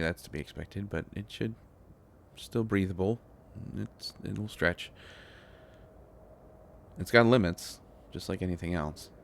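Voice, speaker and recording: A man plays a shy young inventor boyfriend in an intimate audio drama, his voice low and unhurried. He stammers and rambles bashfully, tripping over his words with devoted affection. Some noise sits behind the voice. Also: creaky. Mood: sheepish explaining.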